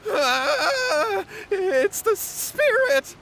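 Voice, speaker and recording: A man's voice: extremely high pitch, big pitch swings, drawn-out words, background noise, exaggerated voice. A man voicing a flustered, cowardly young schemer in a video game, earnest and pleading. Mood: terrified. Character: nervous, cowardly, scheming young would-be dojo owner.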